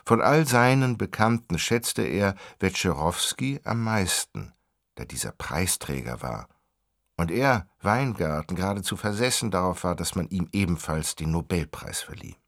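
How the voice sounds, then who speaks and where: An actor narrating a radio play as a wry storyteller with a gravelly, raspy voice. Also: male voice, low voice, lively intonation, moderate pace, a bit bright, dry recording, studio quality. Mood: wry, expository. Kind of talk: scripted radio drama narration.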